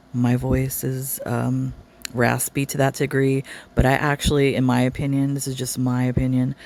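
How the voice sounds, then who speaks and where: A woman's voice: rumbling low, gravelly, a little mellow. A woman hosting a reaction channel, commenting with sarcastic, scolding asides. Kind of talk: commentary over a played livestream.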